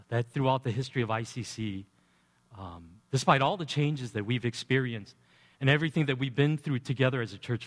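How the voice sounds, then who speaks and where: Pastor, a man speaking in a reverberant hall. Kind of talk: addressing a congregation. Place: large church sanctuary. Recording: long hall reverb, booming sound system.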